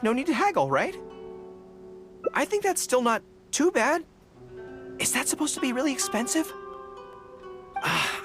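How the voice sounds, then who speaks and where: Eager young dog-eared general boy from a game, naive n earnest, super lively pitch, music behind. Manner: earnest, expressive, hesitant when unsure. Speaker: video game character voice. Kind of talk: scripted game dialogue. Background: background music.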